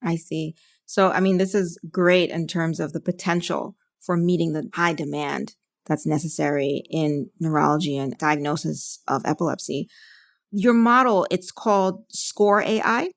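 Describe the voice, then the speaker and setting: Female medical podcast host, lower register, putting prepared interview questions. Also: normal speed, vocal fry. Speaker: neurologist.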